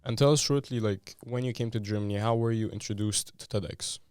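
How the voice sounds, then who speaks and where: A man's voice: on the low side, fairly quick, a little mellow, clear, resonant tone, no echo, clean studio sound. A man hosting a casual podcast interview, asking playful, teasing questions. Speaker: podcast host.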